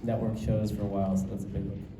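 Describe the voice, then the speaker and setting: A man's voice: lowish voice, level tone, fast, noisy background. A man voice actor on a convention panel, speaking hesitantly and apologetically. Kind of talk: casual panel Q&A. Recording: echoey convention hall.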